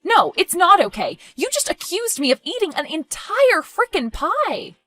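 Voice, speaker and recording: Woman as defensive, exasperated woman, fairly high-pitched. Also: very animated, normal speed, a bit bright. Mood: indignant. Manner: defensive, indignant. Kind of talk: dramatic dialogue acting.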